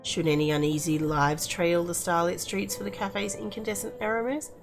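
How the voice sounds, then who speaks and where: A woman's voice: fairly low pitch, steady tone, normal speed, music in the background. A woman hosting a casual game review, talking in a chatty, appreciative way.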